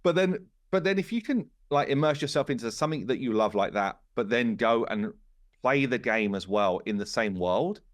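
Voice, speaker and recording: A man with an average-pitched voice, recorded dry and close. He chats casually on a podcast in an earnest, emphatic and informal way. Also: lively intonation, normal speed, no room sound.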